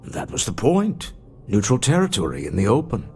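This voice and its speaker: An actor playing a guarded, gruff executive husband in a video game, husky-voiced, curt and businesslike. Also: male voice, lowish voice, huge pitch swings, normal speed, a little mellow, faint music behind, full character voice.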